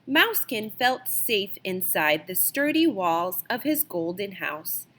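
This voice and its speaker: Woman, children's book read-aloud, expressive storyteller, very lively intonation, leisurely pace, dramatic emphasis. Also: mid-range voice, takes it slowly. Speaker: storybook reader.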